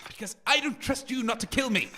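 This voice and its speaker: An actor playing a grumpy, anxious radio co-host in a comic audio drama, fretful, complaining and dramatic. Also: male voice, extremely high pitch, a little fast, sharp tone, a little hiss or hum, heavily acted. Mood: wary, irritable.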